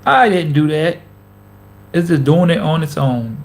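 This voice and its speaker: A man's voice: on the high side, animated, measured pace. A man in his thirties hosting a casual motivational vlog into a camera mic, talking emphatically with lots of repetition and slang. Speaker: host, former pro basketball player.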